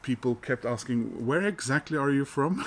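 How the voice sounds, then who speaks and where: A man's voice: medium pitch, expressive, normal speed, a little hiss or hum. A Norwegian man vlogging casually about his record purchases, talking loosely with many "uh" and "um" fillers. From Norway. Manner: loose and chatty, heavy with "uh" and "um" fillers. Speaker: vinyl collector vlogger. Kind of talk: casual rambling chat to camera.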